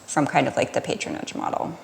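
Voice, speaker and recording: A woman's voice: quick pace, in a large hall, a little hiss or hum, low-quality recording. An American woman talking casually as a podcast guest, explaining things in a looping, self-interrupting way. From United States. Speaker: podcast guest, Pilates studio owner.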